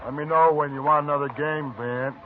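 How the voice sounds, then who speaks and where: A man's voice: fairly high pitch, steady tone, a little fast, close to an everyday voice. An actor playing a jovial, boastful man who has just won at cards, in a 1950s radio drama, talking in a hearty, chuckling way. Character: boastful, lucky card-winning man, hearty. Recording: scratchy, tinny old radio broadcast.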